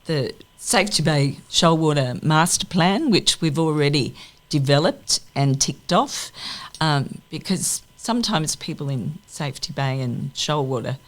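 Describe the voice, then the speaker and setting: A woman's voice: sits low, expressive, a little slow. A woman speaking enthusiastically as a deputy mayor and guest in a casual radio interview.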